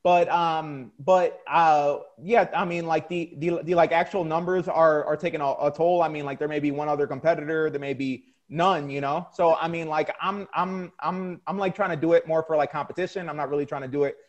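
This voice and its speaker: Young man, high-pitched, hesitant, lots of fillers. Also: fairly even intonation, a little fast, hardly any pauses, highs cut off. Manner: hesitant, many fillers and restarts. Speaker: guest, competitive bodybuilder. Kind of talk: casual interview chat.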